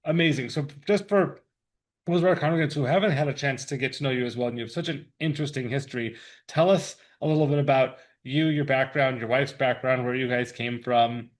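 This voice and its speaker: A man's voice: mid-range voice, a little fast. A man hosting a podcast as a rabbi, speaking conversationally with frequent filler words. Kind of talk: podcast interview.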